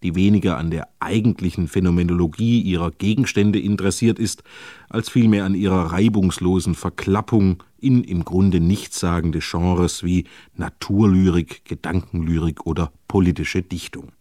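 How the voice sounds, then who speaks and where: Male radio announcer for a literary programme: very low, dark-toned voice, formal and measured delivery. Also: fairly even intonation, no echo, clean studio sound. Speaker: radio announcer introducing a poetry reading. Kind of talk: scripted literary introduction.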